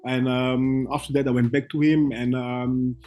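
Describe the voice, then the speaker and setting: A young man from Belgium, a guest trader, telling his story in halting English full of fillers and restarts. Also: medium pitch, flat delivery, dark tone, faint music behind. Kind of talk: casual interview chat.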